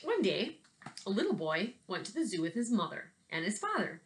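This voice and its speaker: A woman, talking casually and reading to children in an animated, expressive way, like an elementary teacher. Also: mid-range voice, moderate pace. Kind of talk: casual video message and children's read-aloud. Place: small bedroom at home, indoors. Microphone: laptop webcam at desk distance.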